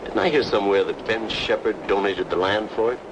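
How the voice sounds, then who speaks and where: An actor playing a friendly, solicitous doctor in an old-time radio drama. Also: male voice, expressive, fairly quick. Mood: genial. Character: friendly, concerned male small-town doctor. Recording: noisy old radio recording, vehicle rumble.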